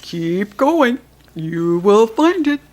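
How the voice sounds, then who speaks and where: A woman's voice: medium pitch, sing-song, very slow, a little mellow. A woman with an American accent voicing a friendly, wise male seahorse who calls out cheerfully in a storybook reading. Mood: helpful. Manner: calling out cheerfully from afar.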